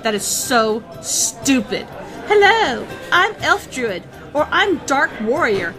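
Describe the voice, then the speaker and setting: Brash, confident swordswoman played by a woman, highish voice. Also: sing-song, slow, crowd noise, exaggerated voice. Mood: scornful. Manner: bold, blunt, boastful. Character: brash, confident female swordswoman, sexy and boastful. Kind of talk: fantasy comedy audio drama acting. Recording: music under the voice.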